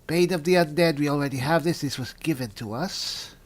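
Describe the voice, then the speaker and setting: A man's voice: a little high, fairly quick. A man hosting a gaming video, talking politely in character and musing aloud as he reads out in-game descriptions. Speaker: gaming video host and player. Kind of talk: in-character roleplay mixed with game commentary.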